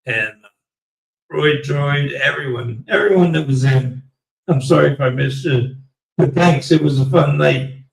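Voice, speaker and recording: A man closing out his live stream in a rambling, halting way, his voice going raspy. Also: fairly high pitch, reverberant, distant mic, low-quality recording. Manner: rambling, slow and halting with many fillers. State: voice getting raspy. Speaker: livestream host. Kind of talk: casual live stream sign-off.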